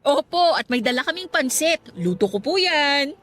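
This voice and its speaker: Affectionate younger Filipina, high voice. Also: female voice, very animated, normal speed, fairly bright tone, a little hiss or hum. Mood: fond. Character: affectionate, teasing married granddaughter-like younger woman. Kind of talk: Filipino radio drama acting.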